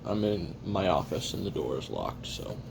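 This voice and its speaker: A man playing a weary, jaded assassin who records a private confession in a halting, sardonic, casually profane way while eating. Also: low voice, sing-song, gravelly, background noise, exaggerated voice. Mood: matter-of-fact. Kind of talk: first-person confessional audio roleplay monologue.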